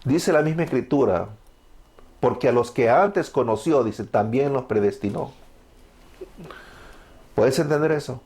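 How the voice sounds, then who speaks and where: A man's voice: mid-range voice, expressive, measured pace, pauses often, darkish tone. Fervent Salvadoran preacher man. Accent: Salvadoran Spanish. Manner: fervent, preachy, asks and answers his own questions. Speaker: preacher giving testimony. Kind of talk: evangelical testimony sermon.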